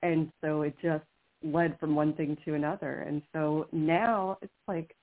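A woman's voice: low-pitched, steady tone, unhurried. An American woman, a radio show guest, chatting enthusiastically with frequent restarts. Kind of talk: casual radio chat. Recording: phone-like lo-fi line.

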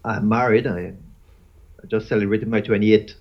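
A man in his fifties from Guyana, talking as an interview guest in a halting way with many fillers and restarts. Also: lowish voice, fairly even intonation. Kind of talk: casual interview chat. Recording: dull lo-fi audio.

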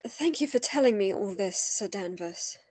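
An actress in a radio play playing a worried, inquisitive woman with a breathy voice and an earnest, polite manner. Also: mid-range voice, lively intonation, normal speed, a little mellow, dry recording.

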